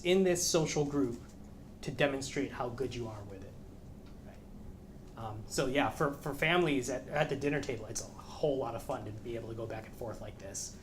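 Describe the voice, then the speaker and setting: A man in his thirties answering audience questions, thinking aloud with frequent restarts. His voice sits at an ordinary middle pitch. The lecture-room recording carries a clear layer of noise. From American Midwest. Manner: thoughtful, hesitant, many restarts. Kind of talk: academic Q&A talk.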